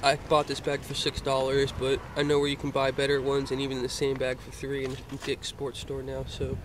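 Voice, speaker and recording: A man's voice: medium pitch, monotone, a little fast. A young man from Florida casually showing off his fishing gear, rambling with lots of hesitant fillers, filmed on a handheld phone inside a car. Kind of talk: casual show-and-tell. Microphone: handheld phone pointed down at gear. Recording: noisy phone recording in a car.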